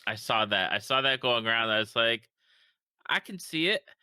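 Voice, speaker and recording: A man's voice: mid-range voice, lively intonation, moderate pace, sharp tone, clean tone, dry recording. A man hosting a podcast, asking questions casually with frequent restarts. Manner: conversational, stumbling restarts. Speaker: podcast host. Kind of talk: casual interview chat.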